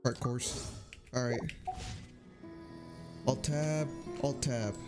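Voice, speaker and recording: A young man giving casual livestream game commentary in a halting, rambling way. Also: mid-range voice, steady tone. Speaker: game streamer. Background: game music.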